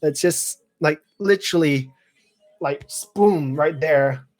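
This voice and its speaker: A man in his twenties chatting casually on a live stream, rambling with many fillers and clearing his throat now and then. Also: fairly high pitch, slow pace, darkish tone. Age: 20s. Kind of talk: casual live chat reading viewer comments.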